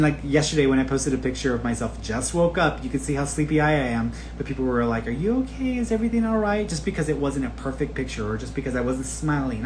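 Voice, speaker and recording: A man's voice: on the high side, a little hiss or hum. A man talking casually and candidly as a spiritual teacher on a live stream, rambling informally, cursing freely and expressively acting out quoted remarks. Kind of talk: casual, rambling personal talk to online followers.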